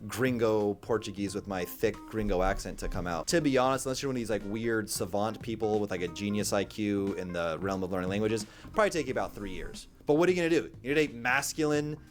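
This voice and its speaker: A man's voice: lowish voice, fast, hardly any pauses, soft background music. A man in a brash, profane ranting monologue, giving blunt dating advice to camera. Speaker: dating coach.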